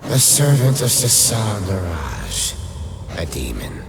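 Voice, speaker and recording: A man playing a commanding undead lich, a skeletal sorcerer, in a dark fantasy radio play, speaking gravely and formally. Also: sits low, huge pitch swings, slow pace, heavily acted. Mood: grave, explanatory. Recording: cavernous echoing reverb, music underneath.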